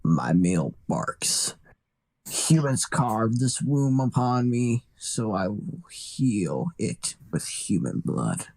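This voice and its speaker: A man voicing a monstrous, bloodthirsty bat devil with a slow, theatrical delivery in an anime fan dub. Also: lowish voice, sing-song, vocal fry, a little mellow, full character voice. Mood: menacing, disgusted.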